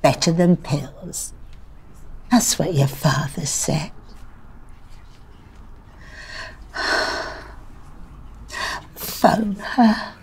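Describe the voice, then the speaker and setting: An actress playing a grieving older widowed mother in a drama, rambling and plaintive, with deadpan wit and stubborn pleading. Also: on the low side, slow, slightly rough, heavily acted. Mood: wistful pleading.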